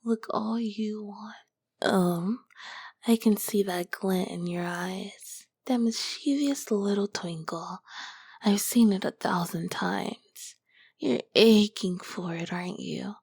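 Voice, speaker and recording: A woman's voice: medium pitch, a little mellow, no echo, exaggerated voice. A woman voicing a whispering succubus queen. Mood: seductive. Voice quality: breathy, whispery. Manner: slow, teasing, purring. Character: ancient seductive succubus queen, teasing, sly. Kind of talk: whispered audio roleplay.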